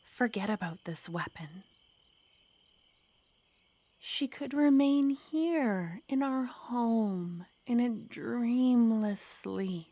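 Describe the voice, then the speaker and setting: A woman voicing a clingy, menacing yandere witch in an ASMR roleplay, speaking in a soft, coaxing whisper. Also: a little high, lively intonation, very slow, dry recording, full character voice.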